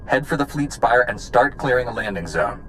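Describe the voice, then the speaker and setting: An actor playing a resolute, principled military commander in a sci-fi game, giving clipped orders with authority. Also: male voice, mid-range voice, lively intonation, normal speed, a bit bright, slight background noise, natural voice, poor audio. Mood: commanding, determined. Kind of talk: cinematic video game acting. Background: music.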